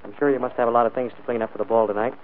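An actor playing a wealthy, proud and urbane employer in a 1940s radio drama, speaking in a clipped, imperious way. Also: male voice, medium pitch, fairly even intonation, rapid-fire, close to an everyday voice. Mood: smooth, breezy. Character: wealthy, commanding employer, suave and proud gentleman. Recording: tinny, noisy 1940s radio broadcast.

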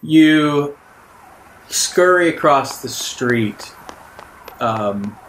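A man narrating an improvised tabletop role-playing game as game master, in a halting, deliberate way. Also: on the high side, expressive, a bit bright. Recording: roomy echo, background room noise.

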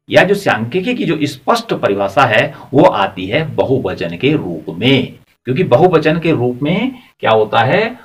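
A man in his forties gives a blackboard lecture into a lapel mic, his voice rising and falling in a lively, animated way. He explains patiently, repeats key points, and keeps asking the class rhetorical questions. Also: mid-range voice. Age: 40s. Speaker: teacher. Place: classroom at a chalkboard.

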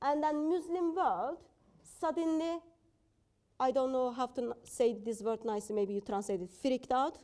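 A woman's voice: sits high, steady tone, normal speed, dark tone. A woman in her thirties, not a native English speaker, firmly rebutting an opponent in a live debate, speaking in a plain indoor room. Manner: assertive, challenging, non-native phrasing.

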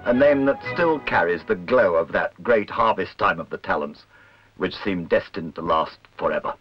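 A man reading scripted documentary narration in a measured, literary, wry manner. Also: deep voice, animated. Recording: dull old film soundtrack, music behind.

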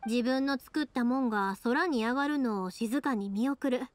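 A woman's voice: on the high side, moderate pace, natural voice. A woman voicing a cheerful, sincere young fireworks maker in an anime-style game, with a Kansai accent and a friendly, earnest, colloquial manner. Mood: reflective, earnest.

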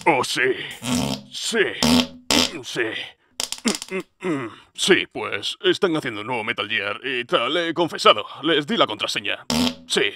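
A man playing a crude, excitable military colonel in a comic cartoon parody dub, slangy, with an accent from Spain. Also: medium pitch, unhurried, exaggerated voice. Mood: relieved rambling.

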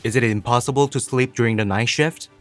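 A man's voice: mid-range voice, fairly quick. A man reading out scripted questions for an explainer video. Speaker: question reader. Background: background music.

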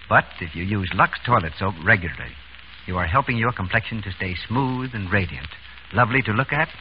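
A man announcing a scripted 1930s radio advertisement in a polished, persuasive, formal way. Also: fairly low pitch. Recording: dull, faintly noisy 1930s radio broadcast.

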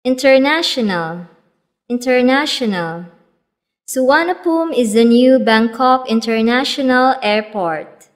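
A woman reading vocabulary for a children's English lesson, saying each word twice and then an example sentence, slowly and evenly. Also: fairly high pitch. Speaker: vocabulary teacher. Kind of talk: slow scripted word-drill reading.

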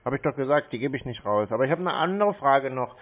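A man's voice: mid-range voice, expressive, quick pace. Man on a tinny phone line. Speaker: scam-baiting show host posing as a customer on the phone. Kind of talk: casual phone conversation.

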